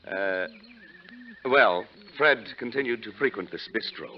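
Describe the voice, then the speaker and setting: A man's voice: on the low side, unhurried, lightly acted. An actor playing a fussy, scheming wealthy stepfather, florid and hesitant, in 1940s radio style. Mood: fussy, indignant. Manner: pompous, florid, flustered hesitations. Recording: muffled, noisy 1940s radio recording.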